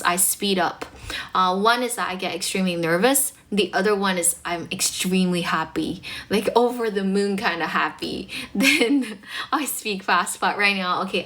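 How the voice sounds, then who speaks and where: Bright-voiced young Chinese woman streaming. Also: mid-range voice. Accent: Chinese, North American-tinged English. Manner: chatty, fast-talking, laughs often, mixes in Chinese. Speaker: English-speaking livestream host. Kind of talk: casual livestream chat with viewers.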